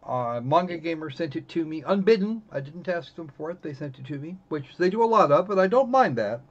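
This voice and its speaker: Male streamer, slightly high voice, casual and rambling, lo-fi sound. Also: animated, fairly quick. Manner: rambling, self-correcting. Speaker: live stream host. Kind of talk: casual livestream chat while gaming. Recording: dull, lo-fi voice recording.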